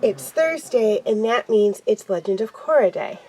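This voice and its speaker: A young woman with a cold and a stuffed-up, nasal voice, hosting a casual TV episode review and talking haltingly with many pauses and ums. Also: mid-range voice, animated, a little mellow, slight background noise, poor audio. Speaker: show host reviewing TV episodes.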